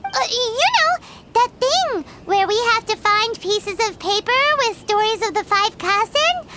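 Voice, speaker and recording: Squeaky, excitable little fairy companion. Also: female voice, measured pace, very noisy. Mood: teasing, chatty. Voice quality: squeaky, childlike. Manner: exclamatory, speaks of self in third person. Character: tiny excitable childlike floating fairy companion, female. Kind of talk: video game voice acting.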